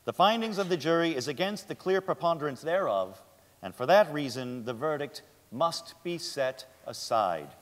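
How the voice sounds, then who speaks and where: A man's voice: on the high side, animated, moderate pace, a bit bright. Man playing a grave judge in a reverberant hall. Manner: solemn, formal. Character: grave, measured dissenting supreme court justice. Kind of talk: formal dramatic stage reading. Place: large theatre stage, indoors.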